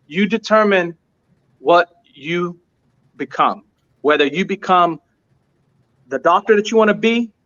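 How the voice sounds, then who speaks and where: A man's voice: high voice, expressive, a little slow, pauses often, a bit bright, dull audio. A man who owns a restaurant, telling his story as an interview guest with emphatic, earnest repetition. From United States. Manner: emphatic, repeats points for stress.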